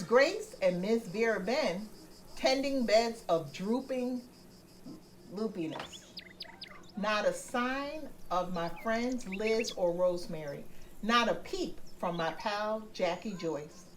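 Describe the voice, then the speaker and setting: A woman reading a picture book aloud as a bedtime story for children, speaking gently. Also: mid-range voice, slight background noise. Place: home room with plants on the table.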